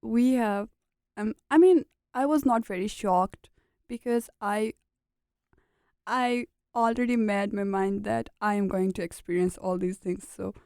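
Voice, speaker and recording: A young Pakistani-accented woman, a graduate student guest on a podcast, talks in simple earnest sentences with frequent small laughs. Her voice is mellow with a gravelly fry, and the recording is close and dry. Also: mid-range voice, a little slow, no room sound. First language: a regional language of Pakistan. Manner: earnest, short plain sentences, laughs often. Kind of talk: casual interview chat.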